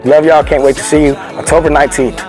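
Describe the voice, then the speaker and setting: A man's voice: a little high, little pitch movement, fast talker, over music. A man from Fort Worth with a Texan accent, a country singer talking earnestly in a documentary interview, repeating key phrases. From Fort Worth, Texas.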